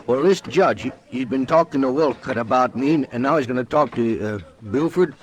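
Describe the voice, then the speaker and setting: A man playing a simple, frightened drifter in an old-time radio western, halting and artless, with a rural American accent. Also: medium pitch, dull audio, lightly acted. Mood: bewildered. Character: simple, poor, frightened drifter wrongly accused. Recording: vintage radio drama recording.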